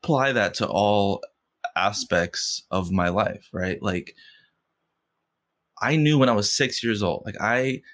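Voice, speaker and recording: A man's voice: on the low side, takes it slowly, pauses often, a bit bright. A man who stutters, a podcast guest speaking reflectively in a casual interview chat. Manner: stutters, with repeated sounds and fillers; reflective, with many "like" and "you know".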